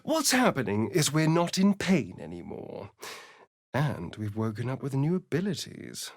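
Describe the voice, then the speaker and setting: An actor playing a sardonic, preening vampire elf rogue in a video game, with an arch, theatrical, drawling delivery. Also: male voice, mid-range voice, huge pitch swings, unhurried, no echo, clean studio sound, heavily acted. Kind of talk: video game character dialogue.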